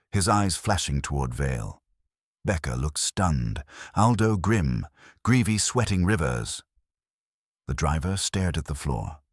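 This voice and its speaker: A man's voice: a bass voice, husky, no echo, studio quality. A man narrating a scripted first-person detective story.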